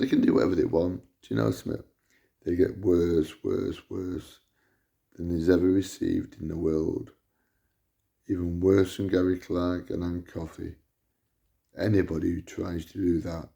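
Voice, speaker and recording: A man with a loud voice, delivering an indignant, repetitive rant to camera as a vlogger. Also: low voice, creaky. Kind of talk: ranting monologue to camera.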